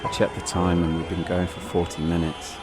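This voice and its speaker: Very deep-voiced man telling a suspenseful story. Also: monotone, a little fast, over loud music. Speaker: storyteller and podcast host. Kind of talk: dramatic first-person travel narration.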